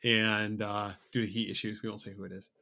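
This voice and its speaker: Low-voiced man chatting casually. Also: brisk, gravelly. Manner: relaxed, rambling with fillers and restarts. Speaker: podcast co-host. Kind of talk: casual chat.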